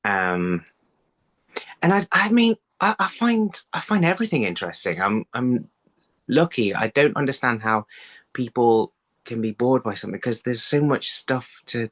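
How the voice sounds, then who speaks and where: A man in his thirties giving conversational interview answers over a video call, haltingly, thinking aloud and repeating words. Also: medium pitch, sing-song, a bit bright. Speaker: writer being interviewed. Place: indoor room, video call. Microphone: phone on a video call.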